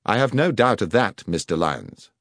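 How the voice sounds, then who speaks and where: A man voicing a sharp, commanding middle-aged detective who asks precise questions, in an audiobook reading. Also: fairly low pitch, big pitch swings, moderate pace, no room sound, studio quality, heavily acted. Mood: probing. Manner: crisp, precise, probing questions.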